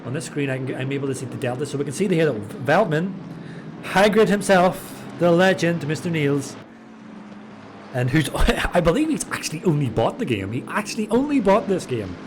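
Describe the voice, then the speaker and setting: A man giving chatty, excitable live commentary on an online race, stumbling over drivers' names. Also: on the high side, normal speed, noisy background. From Ireland. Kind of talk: live sim racing commentary.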